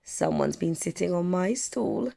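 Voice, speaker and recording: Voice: mid-range voice, animated, a little slow, gravelly, warm tone, voice pushed up, full character voice. A storyteller as an exasperated storybook mama. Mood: disbelieving. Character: exasperated mama, disbelieving and knowing. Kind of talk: children's storybook read-aloud.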